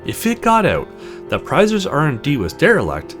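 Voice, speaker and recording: Man reading as a shrewd, deliberate older male lawyer. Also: a little high, wildly swooping pitch, music in the background. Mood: measured, knowing. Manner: formal, deliberate.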